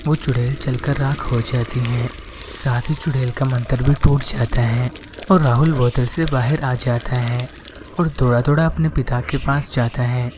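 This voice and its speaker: A man narrating a scripted cartoon horror story in an even, plain way. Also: medium pitch, unhurried, background noise.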